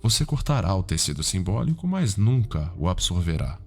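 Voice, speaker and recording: A man with a Brazilian accent playing a calm, cultured, sinister psychiatrist in an ASMR roleplay, speaking in a formal, measured, softly probing way. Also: low-pitched, breathy edge, exaggerated voice. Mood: clinical, instructive. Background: background music.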